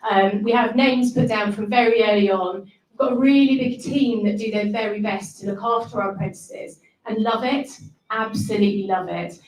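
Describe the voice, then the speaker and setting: Loud-voiced woman in an echoey hall. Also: fairly high pitch. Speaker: managing director giving a welcome. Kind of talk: welcome speech at a graduation ceremony. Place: full event venue. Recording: echoey venue, voice far from the mic.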